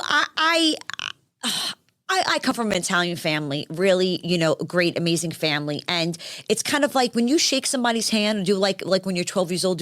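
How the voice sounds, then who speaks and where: A woman from Staten Island with a New York accent, talking as a guest in a casual interview in a brash, animated way with many restarts. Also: mid-range voice, no room sound, studio quality. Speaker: guest, former reality show contestant.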